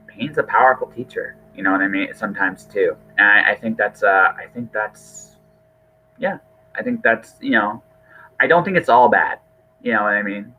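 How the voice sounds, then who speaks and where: A man's voice: lowish voice, phone-quality sound. A middle-aged man hosting a casual podcast conversation, earnest and rambling, often repeating his words. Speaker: podcast host.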